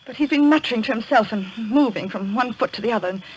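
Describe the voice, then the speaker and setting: A woman's voice: a little high, fast, darkish tone, lightly acted, low-quality recording. A suspicious young niece, played by a woman speaks in a pert, nervous way. It is an old radio thriller, with plain noise on the recording. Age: young adult. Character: anxious, suspicious young niece, quick to interrupt.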